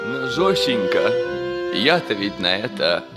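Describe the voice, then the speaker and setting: An actor playing a flustered, smitten middle-aged army major in a 1960s radio comedy, with a theatrical, drawn-out delivery. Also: male voice, fairly low pitch, sing-song. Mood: doubtful, bashful. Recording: 1960s radio play, music behind.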